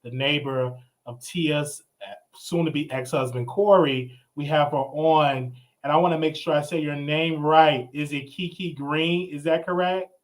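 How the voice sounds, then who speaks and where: A man's voice: fairly high pitch, steady tone, measured pace. A man hosting a live online interview show in a casual, conversational style. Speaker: show host.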